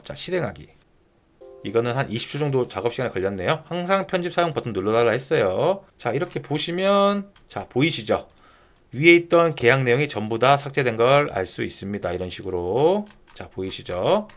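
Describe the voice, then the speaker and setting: A man narrating a software tutorial step by step in informal polite speech, often posing a question and then answering it himself. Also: mid-range voice, very animated, fairly quick. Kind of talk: screen-recording tutorial narration, explaining step by step.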